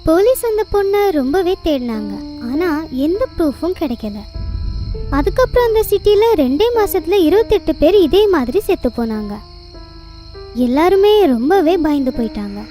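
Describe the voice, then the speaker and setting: A woman voicing a high, mellow young wife. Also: big pitch swings, normal speed. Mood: storytelling. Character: young wife Simran, cheerful and chatty. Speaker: storyteller within the cartoon. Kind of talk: Tamil cartoon dub. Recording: music bed behind.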